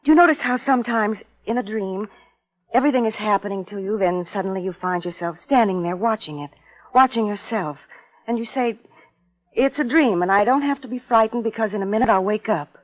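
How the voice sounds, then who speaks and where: A woman's voice: medium pitch, fairly quick, lightly acted, low-quality recording. A woman playing a troubled young wife haunted by nightmares, earnest and hesitant, in a 1950s radio suspense drama. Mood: anxious, earnest. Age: young adult. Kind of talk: 1950s radio suspense acting and first-person narration.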